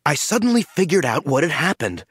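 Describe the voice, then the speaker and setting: A man narrating an audiobook in the first person with lively storytelling. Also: on the high side, breathy edge, no room sound, studio quality. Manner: lively storytelling, slow and animated.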